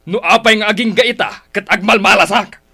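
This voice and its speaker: A man's voice: high voice, steady tone, normal speed, bright, forceful tone, husky, full character voice. A man playing an irritable, blaming husband and father in a Filipino radio drama, with an Ilocano accent. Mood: angry, blaming. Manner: grumbling, scolding.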